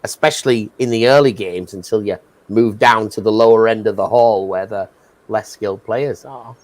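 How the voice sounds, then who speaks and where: Low-pitched British man, reflective guest. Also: moderate pace, a little mellow, no room sound, rough recording. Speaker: livestream guest. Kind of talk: casual chat.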